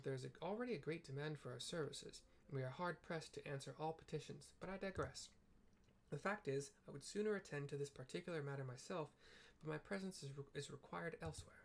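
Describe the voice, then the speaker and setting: A man's voice: fairly high pitch, gravelly, slight background noise. A man voicing a busy, self-important young commander with a clipped, formal, pompous way of talking.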